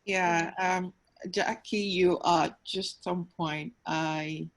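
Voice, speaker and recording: A woman with a naturally loud voice, speaking frankly as a panelist over a video call, with many hesitant fillers. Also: fairly low pitch, steady tone, extremely slow. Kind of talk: panel discussion.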